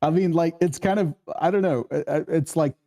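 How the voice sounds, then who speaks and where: Mellow man leading a casual discussion. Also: a little high, fairly quick. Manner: acts out quoted dialogue while explaining, often checking with "right?". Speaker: workshop host leading a screenplay discussion.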